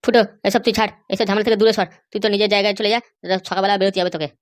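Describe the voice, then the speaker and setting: A woman's voice: medium pitch, fairly even intonation, rapid-fire, dry recording, studio quality, close to an everyday voice. A woman voicing a blunt, sensible older-brother type of man, firm and dismissive, in a comedy cartoon. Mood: matter-of-fact.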